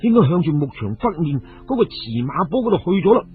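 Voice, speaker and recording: A man voicing a fearless, hot-headed young fighter in a radio story, excitable and bold. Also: fairly high pitch, fairly quick. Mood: excited. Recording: phone-like, muffled audio with music.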